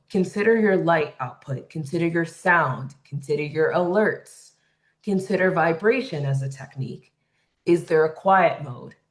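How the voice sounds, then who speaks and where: Woman webinar facilitator with a loud voice. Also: lowish voice. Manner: conversational, tags points with "right?". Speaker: workshop facilitator. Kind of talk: webinar presentation.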